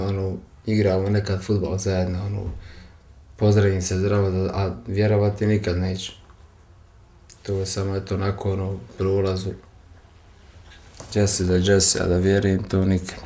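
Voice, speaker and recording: Dark, monotone Bosnian man in roomy echo. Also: sits low. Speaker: interviewee. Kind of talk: casual spoken opinion. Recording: roomy echo, background noise.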